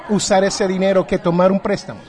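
A man's voice: sits high, little pitch movement, fairly quick, darkish tone. A man from New Jersey hosting a casual interview, inquisitive and rephrasing what he hears to check it. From New Jersey, United States. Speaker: podcast host, interviewer.

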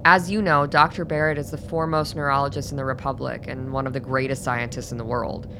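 Low-pitched, composed woman doctor played by a woman. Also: level tone, background noise. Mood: formal, guarded. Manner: measured, formal, probing. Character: composed, tough late-forties female doctor with hidden agenda. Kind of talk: radio play acting.

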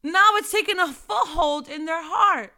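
A woman's voice: very high-pitched, unhurried. A young woman giving an informal preaching talk, urging other mothers with repeated rhetorical questions and fervent exhortations. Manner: shifts between soft reflective testimony and loud urging.

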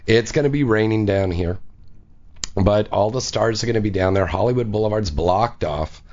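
A man's voice: on the low side, normal speed, darkish tone. A man hosting a call-in radio show, chatting casually and plugging events with frequent "um"s and "uh"s. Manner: breezy, promotional, says "um" and "uh" often. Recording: dull, lo-fi sound.

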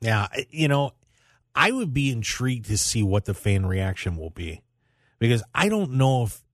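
A man's voice: mid-range voice, sing-song, moderate pace, fairly bright tone, no echo, studio quality. A man hosting a radio show, talking casually about sports news in a rambling way and repeating phrases for emphasis.

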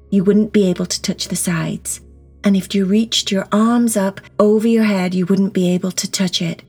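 Soft, breathy woman guiding a children's meditation. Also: mid-range voice. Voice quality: soft, breathy, often near a whisper. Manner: gentle, reassuring, simple wording for children. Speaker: guided meditation teacher and host. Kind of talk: children's guided meditation, slow soothing instruction. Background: soft background music.

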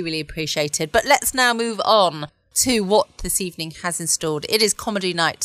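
A woman's voice: mid-range voice, a bit bright, no echo. A woman hosting a bedtime podcast from home in an informal, rambling, chatty way. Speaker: podcast host. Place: at home, close dry recording.

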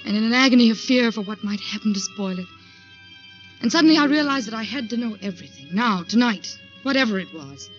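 A woman's voice: mid-range voice, lightly acted. An actress playing an anxious, lovestruck woman who narrates her ordeal in an earnest, melodramatic 1940s radio drama style. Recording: muffled 1940s radio recording with music.

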